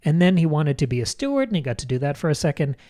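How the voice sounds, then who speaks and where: A man's voice: a little high, very animated, rapid-fire, mellow. A man co-hosting a podcast, casually laying out points about a book one by one with wry asides. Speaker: podcast co-host.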